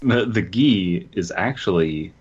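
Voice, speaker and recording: A low-voiced, husky man co-hosts a comedy podcast. He moves casually between reading aloud and commenting, on a slightly dull, lo-fi recording. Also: lively intonation, slow pace. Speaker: podcast co-host. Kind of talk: casual comic commentary with some story reading. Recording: dull, lo-fi microphone sound.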